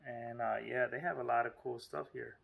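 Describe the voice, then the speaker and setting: A man's voice: medium pitch, fairly even intonation, normal speed, gravelly, phone-quality sound. Chatty man showing a map. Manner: chatty and informal, lots of fillers and asides. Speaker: vlogger. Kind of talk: casual vlog narration.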